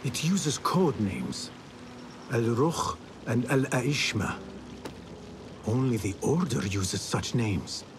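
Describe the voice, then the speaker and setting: Resolute mid-pitched young assassin. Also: male voice, very animated, slow pace, long pauses, background noise. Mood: serious, intent. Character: resolute young male assassin, terse and purposeful. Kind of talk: dramatic video game acting.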